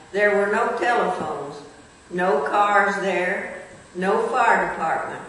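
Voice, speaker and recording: A woman's voice: medium pitch, fairly bright tone. A woman reading a written local history aloud. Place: large echoing indoor room, recorded from a distance. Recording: very echoey hall, distant mic, faint noise.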